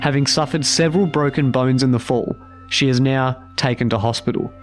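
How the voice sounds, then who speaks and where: Measured male true-crime narrator, slightly mid-pitched. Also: soft background music.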